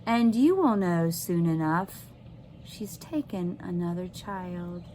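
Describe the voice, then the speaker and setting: A woman voicing an ominous old grandmother, slow and eerily calm, in a children's storybook reading. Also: lowish voice, a little hiss or hum, low-quality recording. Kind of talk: children's novel read-aloud.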